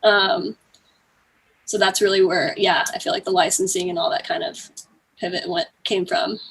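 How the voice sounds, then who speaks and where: A woman's voice: mid-range voice, fairly even intonation, normal speed, a bit bright, a little hiss or hum. A woman speaking informally and conversationally as a guest in a casual interview. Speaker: podcast guest. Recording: distant from the mic.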